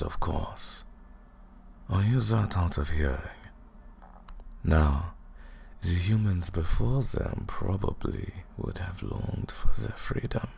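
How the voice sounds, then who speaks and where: A man's voice: a bass voice, gravelly, slight background noise, full character voice. A man playing an ancient vampire lord, cold, mocking and condescending, in a theatrical, menacing roleplay monologue. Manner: slow, menacing, taunting, theatrical. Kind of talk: scripted ASMR roleplay monologue.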